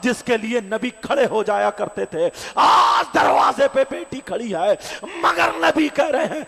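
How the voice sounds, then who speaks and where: A man preaching a devotional sermon, telling the story with impassioned shouting and chanted, sing-song phrases. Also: extremely high pitch, expressive, vocal fry, breathy edge. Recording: reverberant hall with faint crowd.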